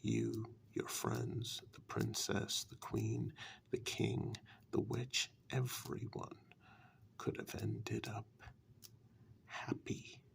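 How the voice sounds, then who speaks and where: A man playing a sly, condescending warlock in a soft-spoken ASMR dark fairytale role-play, patronising and deliberate, with long pauses. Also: fairly low pitch, sing-song, a little hiss or hum, exaggerated voice. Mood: amused, condescending. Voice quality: soft, breathy half-whisper with gravelly creak.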